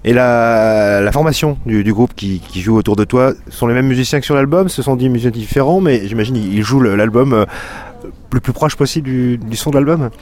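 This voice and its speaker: A man interviewing a musician, asking unhurried questions with some hesitation. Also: mid-range voice, a little mellow, a little hiss or hum. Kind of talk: radio interview.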